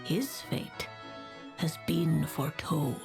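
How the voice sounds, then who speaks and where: A woman's voice: low voice, slow, creaky, music in the background, exaggerated voice. A woman voicing a grave, melancholy seer witch in a video game, with solemn, theatrical and formal delivery. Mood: ominous, reproachful.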